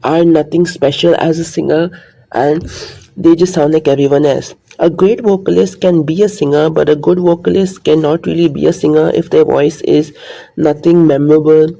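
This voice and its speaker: Man, casual vlogger monologue, earnest and rambling, slightly high with a gravelly edge. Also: fairly even intonation, moderate pace, dark tone, low-quality recording.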